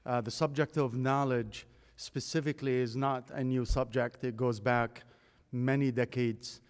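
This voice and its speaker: Relaxed middle-aged man presenting in a hall. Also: mid-range voice, unhurried, smooth. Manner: relaxed and conversational, with many uh fillers. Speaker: guest speaker. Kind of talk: technical presentation. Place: large conference hall. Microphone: podium microphone. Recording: long hall reverb.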